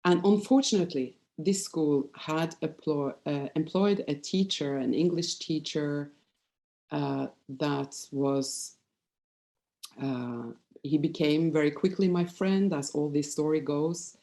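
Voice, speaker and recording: A woman's voice: deep voice, slow, mellow. A woman in her fifties with a Norwegian accent, giving an informal, personal presentation in a hesitant, candid manner. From Norway. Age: 50s.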